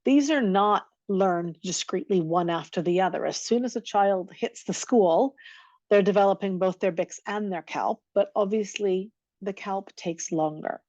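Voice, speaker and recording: A woman's voice: medium pitch, a little slow, a little mellow, no room sound, muffled recording. A woman giving a recorded lecture on teaching, explaining and running through lists of examples. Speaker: teacher trainer.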